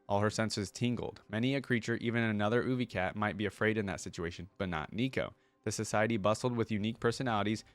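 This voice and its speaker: A man with a fairly low voice speaks as an online reaction host, informal and conversational. The recording is dry, with very little room sound around the voice. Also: dry recording. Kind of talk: casual reaction commentary mixed with reading lore aloud.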